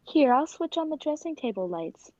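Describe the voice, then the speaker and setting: A woman's voice: high voice, sing-song, normal speed, darkish tone, no room sound. Woman as a sly tiger tamer, dull recording. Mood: scheming. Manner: pointed, probing. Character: sly, scheming female circus tiger tamer. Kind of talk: 1940s radio play acting.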